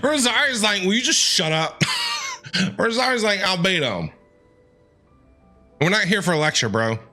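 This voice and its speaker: A man's voice: sits high, very animated, measured pace, pushed and bright, faint music behind. A man streaming a game with playful, casual reaction commentary, talking back to the characters.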